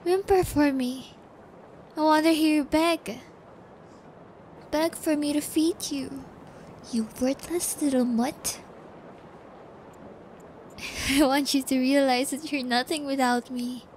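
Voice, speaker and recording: A woman acting a sadistic young female captor in an ASMR audio roleplay, taunting and gloating with drawn-out, cruel menace. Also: high-pitched, steady tone, a little mellow, a little hiss or hum. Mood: mocking menace.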